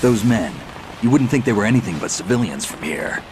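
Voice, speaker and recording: An actor playing a terse, wary veteran special-ops soldier in a video game cutscene, speaking in a clipped military style. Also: male voice, lowish voice, expressive, fairly quick, background noise, lightly acted. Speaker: infiltrating operative.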